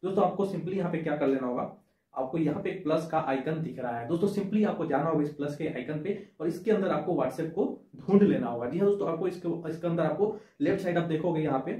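A man's voice: a little high, quick pace, mellow. A young man presenting a phone tutorial to camera, explaining step by step and repeating his points. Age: young adult. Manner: explanatory, repeats points, addresses viewers as friends. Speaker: tech tutorial host. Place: small indoor room with shelves. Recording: echoey small room.

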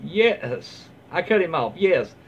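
A middle-aged man casually reading online stories aloud and adding his own comments, in an informal, halting way. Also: fairly high pitch, sing-song. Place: small room at home, indoors, seated in armchair. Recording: dull lo-fi home recording, faint noise.

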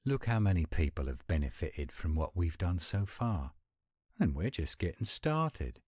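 Man playing a very deep-voiced werewolf boyfriend. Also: big pitch swings, unhurried, darkish tone, dry recording, clean studio sound, heavily acted. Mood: hopeful, affectionate. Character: affectionate, gruff-but-sweet male werewolf boyfriend. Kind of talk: romantic audio roleplay, one-sided intimate dialogue.

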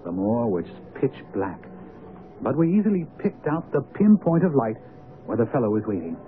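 An actor playing an earnest, loyal doctor and companion to a detective, narrating formally in a radio drama. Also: male voice, mid-range voice, sing-song, lightly acted. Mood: grave, measured. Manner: measured, formal storytelling. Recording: dull, noisy old radio broadcast.

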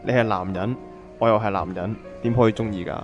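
A man's voice: fairly low pitch, fairly even intonation, quick pace, lo-fi sound, soft background music, lightly acted. A man playing a straightforward, easygoing young man in an amateur radio drama. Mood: matter-of-fact.

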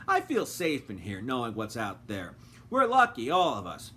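A man's voice: medium pitch, huge pitch swings, moderate pace, pushed and bright, slight background noise, exaggerated voice. A man voicing a smug, spiteful house cat who talks slyly. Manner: sly, snide.

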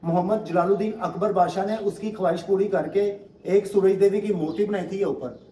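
A man's voice: high voice, steady tone, a little fast, warm tone, far from the mic, slight background noise. Man guiding a tour in echoey stone halls. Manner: explanatory, asks if listener follows. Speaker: tour guide. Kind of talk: guided tour explanation. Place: inside an old stone fort, in and out of doors.

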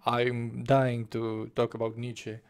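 A man's voice: medium pitch, completely flat delivery, takes it slowly, smooth. A young man, talking through a book review in his bedroom into a desk mic, passionate and restarting his phrases. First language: Romanian. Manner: passionate, gestures with both hands, restarts phrases. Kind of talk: casual spoken book review. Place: small bedroom at home. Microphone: desk microphone in front of face, webcam framing.

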